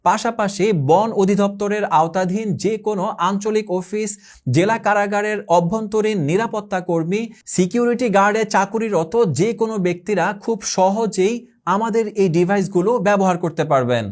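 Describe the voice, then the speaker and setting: Bangladeshi guy doing a product promo, high voice, reads off long lists of uses fast. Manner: brisk listing of features and uses. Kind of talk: scripted sales pitch. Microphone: handheld phone shown on camera.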